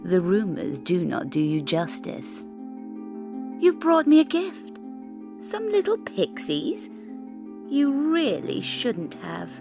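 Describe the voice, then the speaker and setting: Game seductress: vain wicked noblewoman, slow sultry drawl, swooping pitch, music underneath. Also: sing-song, gravelly, heavily acted. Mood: seductive. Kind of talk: video game character acting.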